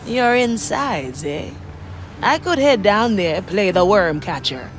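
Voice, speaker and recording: A woman's voice: medium pitch, lively intonation, slow pace, slight background noise. An actress playing a wry, daring woman pirate navigator with a cool, confident manner.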